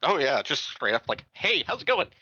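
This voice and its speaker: A man chats and jokes at a tabletop game, repeating words as he starts sentences. His voice is strikingly sharp and bright, dry and slightly muffled by lo-fi audio. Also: on the high side, big pitch swings, moderate pace, husky, no echo. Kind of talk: casual joking chat.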